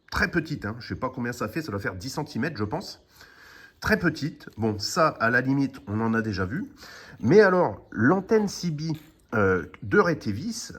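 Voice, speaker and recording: A man giving a casual, unscripted product review in an informal, explanatory way, often adding little conversational tags. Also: medium pitch. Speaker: video host presenting a product.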